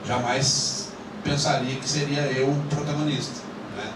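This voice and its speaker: A man's voice: mid-range voice, level tone, unhurried. A man with a caipira accent from inland São Paulo, an actor chatting informally at a panel talk. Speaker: actor and director. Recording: echoey room, mic far, noisy.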